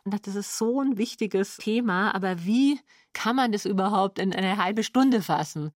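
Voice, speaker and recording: A woman's voice: medium pitch, moderate pace, no room sound, studio quality. A woman giving a reflective interview statement as a dramaturg, explaining in long flowing sentences.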